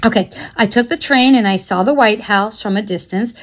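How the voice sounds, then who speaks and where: A woman's voice: medium pitch, moderate pace, fairly bright tone, lightly acted. A woman voicing an eager, joking young boy with casual, slangy talk in a storybook read-aloud.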